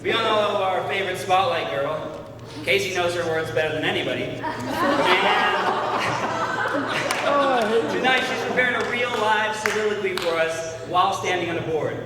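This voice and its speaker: A man emceeing a talent show, giving joking introductions for each act in a quick, wisecracking way. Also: high-pitched, expressive, very noisy. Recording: echoey hall from far, loud crowd.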